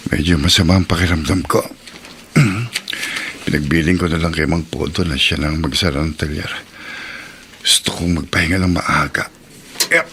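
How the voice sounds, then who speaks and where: An actor with a Filipino accent playing a tired, lecherous working-class husband in a radio drama. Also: male voice, extremely low pitch, normal speed, long pauses, fairly bright tone, a little hiss or hum. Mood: weary. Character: tired, leering husband, an auto mechanic.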